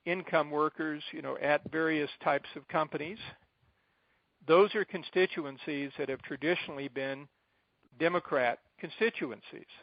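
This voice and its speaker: Middle-aged American male, advocacy leader on a muffled conference call, creaky voice, deliberate and emphatic phrasing. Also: fairly high pitch, dry recording. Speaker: advocacy group president addressing supporters. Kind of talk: informal briefing on a conference call. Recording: dull, band-limited conference call line.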